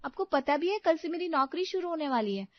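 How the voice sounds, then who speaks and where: A woman voices a young working mother in a family tale. Her high voice is anxious and full of complaint. The sound is dry and slightly muffled. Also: lively intonation, a little fast, no room sound. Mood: shocked. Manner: protesting, incredulous.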